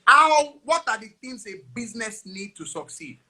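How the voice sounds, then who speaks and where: A male host with a strikingly high, bright voice that has a husky edge. He asks eager questions and reacts with quick exclamations in a relaxed conversational interview. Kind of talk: casual interview talk.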